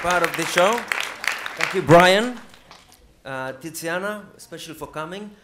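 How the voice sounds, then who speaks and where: A man slowly gives his thanks, his voice a little high. He sounds far from the mic in an echoey room. A chattering crowd and noise sit behind him. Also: a bit bright. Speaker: presenter thanking organizers.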